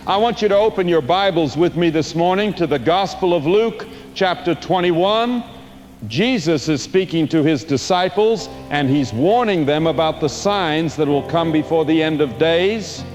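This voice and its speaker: A man's voice: fairly high pitch, darkish tone, close to an everyday voice. A man playing a fervent preacher delivering a sermon about the end times in an impassioned preaching cadence. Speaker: pastor. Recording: long hall reverb.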